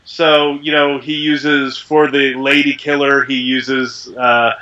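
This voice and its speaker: A man who is a voice actor, talking casually in an interview with a deep, resonant voice while a little sick, rambling with many fillers. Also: little pitch movement, takes it slowly, pushed and bright, muffled recording. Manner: chatty, rambling, self-deprecating with frequent fillers. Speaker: voice actor guest.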